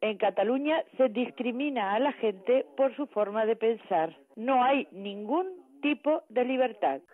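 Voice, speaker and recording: Tinny telephone sound, woman caller, from Argentina, middle-aged or older, slightly high voice, personal testimony. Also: animated, unhurried. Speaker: radio listener caller. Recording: narrow, tinny phone line.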